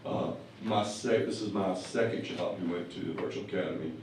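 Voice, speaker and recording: Deep, slightly hoarse man at a podium. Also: a little fast, darkish tone, slight background noise. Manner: plainspoken, asks the board pointed questions. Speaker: parent giving public comment. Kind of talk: public comment at a board meeting. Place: school board meeting room. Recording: very echoey room, distant mic.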